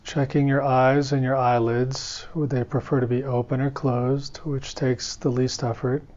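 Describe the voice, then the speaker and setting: A man teaching guided meditation in a calm, gentle, unhurried manner. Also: mid-range voice. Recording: dull, muffled lo-fi audio.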